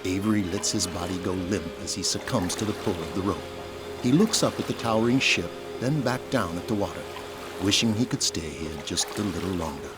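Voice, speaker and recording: Low-voiced male narrator over music. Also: expressive, fairly quick. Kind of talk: scripted audio drama narration.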